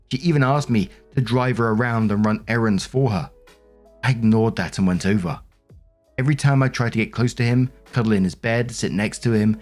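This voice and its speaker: A man's voice: fairly low pitch, a little mellow. A man narrating written internet posts aloud as a storyteller. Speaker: narrator of online stories.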